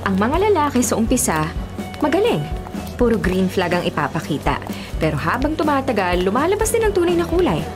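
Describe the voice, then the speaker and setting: A woman's voice: fairly high pitch, huge pitch swings, brisk, over music. An actress with a Filipino accent playing a guarded, bitter young woman who is secretly smitten, in a dramatic radio drama style. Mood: guarded, skeptical.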